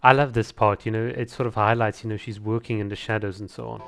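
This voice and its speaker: A man's voice: fairly low pitch, little pitch movement, quick pace, gravelly, music in the background. Emphatic man giving casual reaction commentary. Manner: emphatic, repeats words for stress. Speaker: video reviewer.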